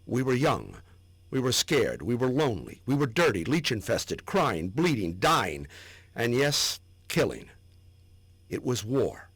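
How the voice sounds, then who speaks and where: A man's voice: mid-range voice, a bit bright, no room sound, exaggerated voice. A man giving a dramatic reading as a proud war veteran looking back on his service. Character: proud, remembering male Vietnam war veteran. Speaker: reader.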